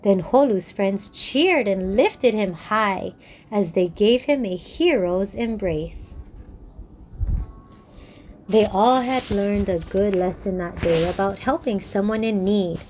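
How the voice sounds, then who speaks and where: A woman from Hawaii hosting a children's storytime, expressive and encouraging, speaking colloquially to young listeners. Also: mid-range voice, a little hiss or hum.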